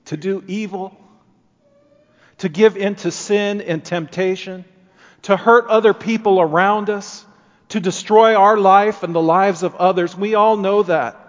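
A man preaching a sermon in earnest, building emphasis and then dropping to quieter passages. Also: sits high, steady tone, measured pace, in a large hall, dull audio. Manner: earnest, rising and falling emphasis.

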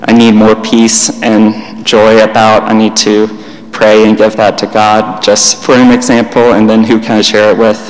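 A young man teaching in a church hall, his voice flat and monotone with little rise or fall. He talks plainly and unhurriedly, pausing and restarting as he goes. His words ring out with a long hall reverb behind them. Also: lowish voice. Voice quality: monotone delivery. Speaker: church speaker.

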